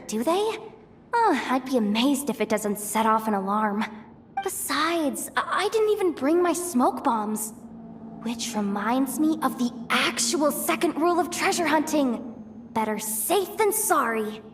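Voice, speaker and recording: High-pitched, jittery young treasure hunter. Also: female voice, slight background noise. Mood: wary, wry. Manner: flustered, boastful, stammering. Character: jittery young female treasure hunter guide, blustering, secretly soft-hearted. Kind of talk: animated game voice acting.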